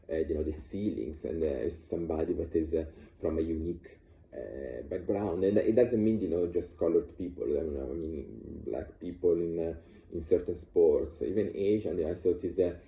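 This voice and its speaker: A man's voice: low voice, gravelly, warm tone. A man, talking casually about his views with many fillers and restarts. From Italy. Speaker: vlogger sharing personal views. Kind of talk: casual unscripted monologue. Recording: echoey room.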